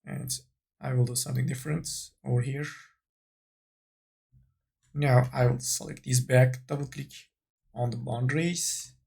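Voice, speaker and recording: A man narrating an unscripted software tutorial, tentatively thinking aloud as he works. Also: medium pitch, steady tone, unhurried, vocal fry, long pauses, husky. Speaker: 3D modeling tutorial instructor.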